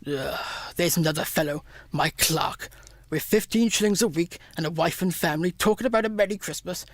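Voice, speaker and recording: An actor playing a sour, miserly old man in a radio play, gruff, scornful and sarcastic. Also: a little high, fairly quick, no room sound, natural voice. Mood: grumbling. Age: older. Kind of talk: radio play acting of a Victorian tale.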